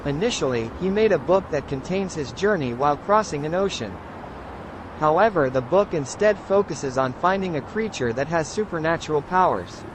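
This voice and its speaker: A man voicing a kindly, calm mentor with a measured manner in an audio novel. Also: a little high, background noise, close to an everyday voice. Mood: reflective. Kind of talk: scripted audio novel dialogue. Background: music.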